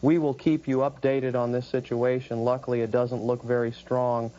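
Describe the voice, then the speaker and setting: A man playing a local TV meteorologist, giving forecasts and warnings in a steady, measured way with small hesitations. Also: mid-range voice, lo-fi sound, lightly acted. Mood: concerned. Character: calm male TV meteorologist on a local station. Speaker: weather presenter. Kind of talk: amateur broadcast role-play.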